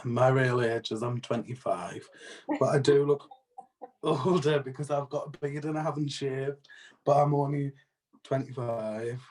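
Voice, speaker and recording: A man's voice: medium pitch, unhurried, a little mellow. A man in his late twenties co-hosting a casual chat with joking, teasing banter. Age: late 20s. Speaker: co-host.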